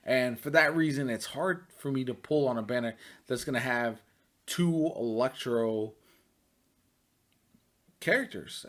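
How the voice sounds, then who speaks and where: Male gaming vlogger, medium pitch, conversational and chatty reaction commentary. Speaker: gaming YouTuber. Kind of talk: casual reaction and commentary to camera.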